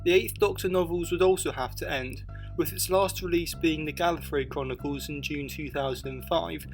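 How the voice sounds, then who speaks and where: A man's voice: a little high, normal speed, soft background music. A man reading scripted narration for a documentary-style video essay.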